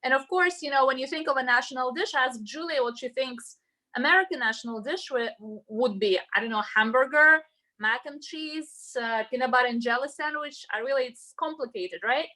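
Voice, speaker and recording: Home cook, woman with Russian accent, fairly high-pitched and bright, chatty and explanatory, casual demo delivery. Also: a little fast. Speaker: home cook leading an online cooking class. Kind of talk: casual cooking demonstration. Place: indoors at home, cooking. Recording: roomy, echoey home sound.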